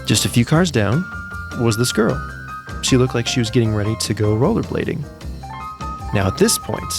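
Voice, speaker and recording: A man narrating a scripted story in a casual, anecdotal, conversational way. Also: on the low side. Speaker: narrator and storyteller of a fiction podcast. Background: music under the voice.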